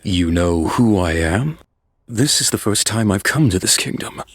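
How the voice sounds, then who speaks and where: A man playing a cool, composed young crown prince in an anime dub, speaking in a measured, restrained way. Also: fairly low pitch, expressive, no room sound, studio quality. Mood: cool, probing.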